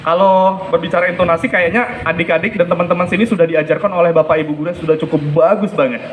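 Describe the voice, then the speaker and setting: A man's voice: sits high, steady tone, quick pace, slight background noise, low-quality recording. A man giving explanatory, emphatic spoken feedback as a storytelling coach, repeating his key points.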